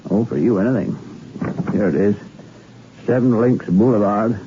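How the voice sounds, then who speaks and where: A man's voice: lowish voice, moderate pace, close to an everyday voice. An actor playing an obliging, easygoing man in a 1960s radio drama, with a wry delivery. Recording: dull, faintly hissy old radio recording.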